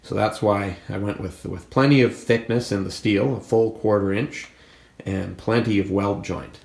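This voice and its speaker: A man's voice: on the low side, steady tone, moderate pace, gravelly, a little mellow. A man with a cold and a congested voice, hosting a casual walkthrough of his home gym equipment and explaining it plainly. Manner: plain, explanatory, pointing things out. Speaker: fitness channel host.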